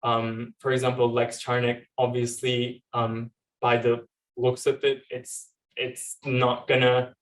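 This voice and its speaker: A man's voice: medium pitch, completely flat delivery, slow. A young man talks informally and a little hesitantly on a panel, telling personal stories with plenty of "like". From Turkey. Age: young adult. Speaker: panel speaker, law student and activist. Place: panel event at a university. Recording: distant microphone at live event.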